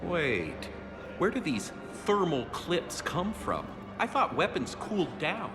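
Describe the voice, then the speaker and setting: An actor playing an awkward, eager human fan in a video game cutscene, talking in a nervous, rambling way. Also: male voice, fairly high pitch, big pitch swings, slow, over music, exaggerated voice. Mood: flustered.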